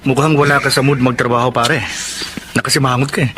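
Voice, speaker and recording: A man's voice: mid-range voice, steady tone, quick pace, background noise, natural voice. A man playing a nosy, mischievous workmate in a Filipino radio drama, prodding and teasing as he talks. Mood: curious, teasing. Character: nosy, mischievous male buddy from work.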